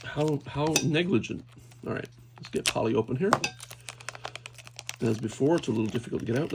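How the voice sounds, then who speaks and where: A man with a middle-pitched voice talks casually as an unboxing host, working things out aloud with some hesitation. There is faint noise behind him, along with clicks of objects being handled. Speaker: toy unboxing video host. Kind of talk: casual unscripted hands-on commentary. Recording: clear background noise, clicking handling sounds.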